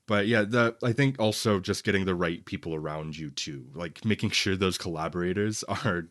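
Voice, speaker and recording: A man's voice: low-pitched, moderate pace, fairly bright tone, no echo, clean studio sound. A man co-hosting a film podcast in a casual, conversational and rambling way.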